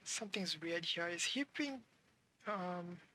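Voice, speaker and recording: A woman's voice: lowish voice, lively intonation, slow pace, pushed and bright. A woman streaming a game, giving casual commentary and musing aloud in an informal way. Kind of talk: casual live gameplay commentary.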